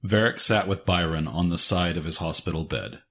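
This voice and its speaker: A man's voice: sits low, animated, moderate pace. A man reading scripted, dramatic story narration as the narrator of an audio drama. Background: faint music.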